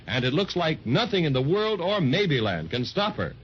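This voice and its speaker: A man's voice: fairly high pitch, sing-song, moderate pace, a little hiss or hum. An American man narrating a 1930s children's radio serial with emphatic, dramatic storytelling. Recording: dull 1930s radio transcription.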